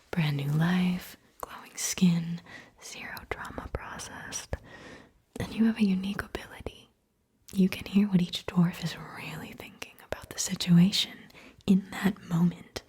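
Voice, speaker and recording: A woman speaks in a steady, breathy whisper, pitched on the low side. She hosts in an ASMR style, guiding the listener through a visualization with gentle instructions. She addresses the listener directly and often checks in with small soft questions.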